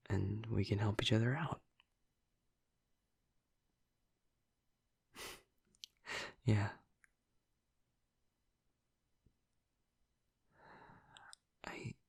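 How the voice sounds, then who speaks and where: A man playing a gentle, flirty, earnest vampire in an intimate audio roleplay, murmuring softly and hesitantly in a breathy, gravelly voice. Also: on the low side, no room sound, studio quality, full character voice. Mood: earnest, vulnerable. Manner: soft, hesitant, halting, reassuring.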